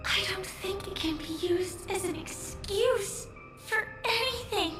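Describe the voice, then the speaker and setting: A woman playing a resolute young game heroine who defies fate, speaking quietly, deliberately and earnestly. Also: very high voice. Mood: quietly defiant. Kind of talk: dramatic video game voice acting. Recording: echoey, distant, music underneath. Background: game music.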